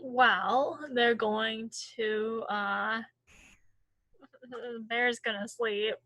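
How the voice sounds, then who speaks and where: A woman's voice: a little high, steady tone, extremely slow, fairly bright tone, no echo, rough recording. A woman making casual, wry interjections as she follows along with a story. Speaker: listener and co-reader.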